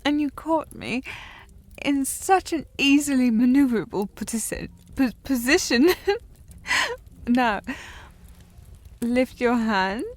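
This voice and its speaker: A woman's voice: sits high, animated, takes it slowly, pauses often. A woman playing a tipsy, haughty, teasing young noblewoman in an intimate period audio drama, with a slightly slurred delivery and an affected, sliding accent. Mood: playful, giddy. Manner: slightly slurred drunk delivery, playfully imperious, formal wording.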